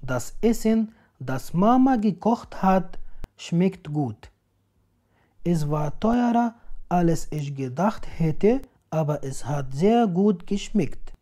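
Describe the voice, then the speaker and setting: A woman reads out short sentences at a very slow, careful pace, each word clearly spaced. Her voice is soft and mellow, sitting a little low. She sounds like a teacher recording a voice-over. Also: animated. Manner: slow, deliberate, clearly enunciated. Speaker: language teacher. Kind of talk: slow read example sentences, each twice.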